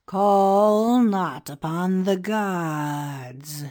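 A woman's voice: on the low side, very slow, a little mellow, no echo, studio quality. A woman playing a grave ancient Egyptian male priest in a dramatised storybook reading, speaking with formal, archaic solemnity.

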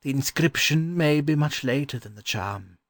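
A man playing a gentle, polite and weary learned gentleman in a dramatized children's audiobook, with a courteous, scholarly manner. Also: fairly high pitch, animated, moderate pace, no room sound, clean studio sound. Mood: scholarly musing.

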